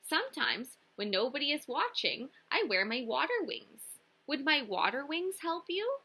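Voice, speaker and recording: A sheepish storybook duck, put on by a woman, confides in a high, emphatic voice. The delivery is bright and swoops up and down. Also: very animated, normal speed, heavily acted. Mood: sheepish, confiding. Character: sheepish duck confessing a fear.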